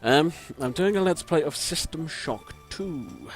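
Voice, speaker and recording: A man with a croaky voice, giving rambling, self-deprecating gameplay commentary from a home mic and swearing casually. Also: on the high side, expressive, unhurried, faint music behind. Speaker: let's play commentator. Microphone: home desk microphone.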